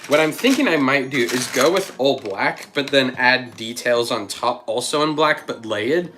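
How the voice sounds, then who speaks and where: Man, livestream host, casual chat, thinking aloud, mid pitch, slight cough, faint background music. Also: lively intonation, moderate pace. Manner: thinks aloud, chatty, reads out chat messages. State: lingering cough, slight frog in throat.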